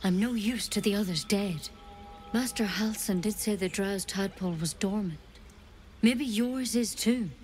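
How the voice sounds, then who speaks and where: A woman's voice: medium pitch, measured pace, darkish tone, faint music behind, close to an everyday voice. A woman playing a stern, worried healer in a video game, speaking in a grave and insistent manner. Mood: grave, reluctant. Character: stern, worried female healer druid's apprentice. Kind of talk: video game dialogue acting.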